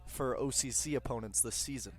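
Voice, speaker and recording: A man's voice: medium pitch, a little fast. A man working as a baseball color commentator, explaining and analyzing in a conversational way.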